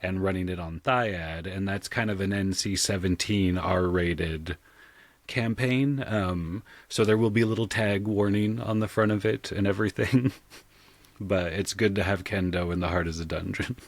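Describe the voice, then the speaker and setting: A man's voice: deep voice, steady tone, normal speed, no echo. A man speaking informally as a podcast guest, a game master and sound designer chatting about his craft. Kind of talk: casual conversational interview.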